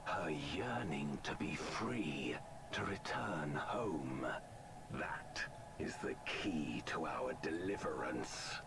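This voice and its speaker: A man playing a grave, commanding villainous sorcerer in a video game, speaking slowly in archaic, formal phrases with a gravelly, breathy voice. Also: extremely low pitch, animated, noisy background, exaggerated voice. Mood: calculating, measured. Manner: slow, archaic, formal, imperious. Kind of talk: theatrical video game voice acting. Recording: loud music under the voice. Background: loud game music.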